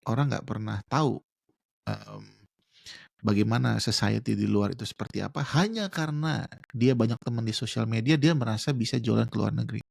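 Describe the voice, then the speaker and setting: Brief: man, gravelly, dry close sound, patient explainer, slangy with English words. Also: fairly low pitch, brisk, darkish tone, no room sound. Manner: explains with examples, slangy Jakarta register, mixes in English words. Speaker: guest. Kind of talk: casual podcast chat.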